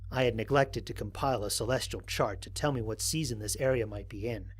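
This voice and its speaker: A man playing a thoughtful, wary space explorer, narrating scripted mission logs in a measured, articulate, reflective way. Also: lowish voice, husky. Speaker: narrator of a fan audio drama. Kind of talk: scripted first-person mission log narration.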